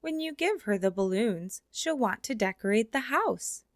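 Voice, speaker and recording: A woman reads a children's picture book aloud with a fairly high, bright voice. Her delivery is expressive, and she slows down for the closing lines. The sound is dry and close, with no room echo. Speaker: storybook reader. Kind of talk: children's read-aloud narration.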